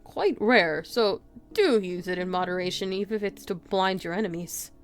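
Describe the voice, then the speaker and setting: A woman voicing a gentle, bookish man, a kind outcast scientist in a visual novel, speaking earnestly. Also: mid-range voice, lively intonation, normal speed, soft background music, natural voice. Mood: kind, reassuring.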